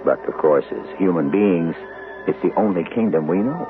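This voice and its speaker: A man hosting a radio drama, speaking in a measured, philosophical, deliberate way. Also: low-pitched, animated. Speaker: host of a radio drama series. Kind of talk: 1970s radio drama host commentary. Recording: tinny old radio broadcast with music.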